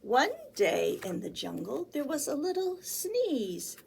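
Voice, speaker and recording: A woman's voice: high voice, big pitch swings, slow, gravelly, a little mellow, slight background noise. A woman reading a children's picture book aloud as a storytime librarian, with theatrical, sing-song emphasis. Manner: theatrical, sing-song emphasis with acted animal sounds and sneezes.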